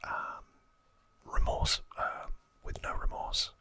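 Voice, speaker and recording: Casting: ASMR narrator, fully whispered and breathy, unhurried delivery, informal explainer tone. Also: bright, forceful tone. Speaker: ASMR host.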